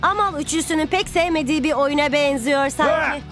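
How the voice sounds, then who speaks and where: A woman's voice: high voice, a little fast, over loud music, lightly acted. A woman voicing a keen, dramatic football commentator in a cartoon. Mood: intrigued.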